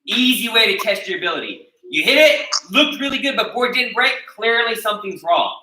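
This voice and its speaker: A high, very bright American male voice leads a martial arts class, questioning students and checking that each point landed. He is picked up from a distance in an echoey training hall. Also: sing-song, fairly quick. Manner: instructive, checks in with "okay?" after each point. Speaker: taekwondo instructor. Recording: echoey room recorded from a distance.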